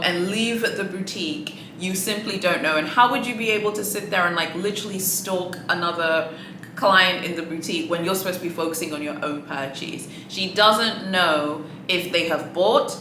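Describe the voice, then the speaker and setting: A woman's voice: fairly low pitch, slight background noise. Sassy, exasperated woman in an echoey room. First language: Swahili. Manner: sassy, exasperated, animated, frequent likes and okays. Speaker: luxury shopping vlogger. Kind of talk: casual commentary.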